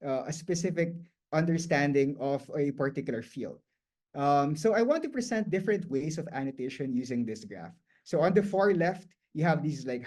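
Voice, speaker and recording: A man's voice: a little high, darkish tone. Man lecturing loosely, full of fillers. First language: Tagalog. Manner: loose and conversational, frequent "um" and "like", restarts mid-phrase. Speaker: guest lecturer. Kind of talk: explanatory lecture.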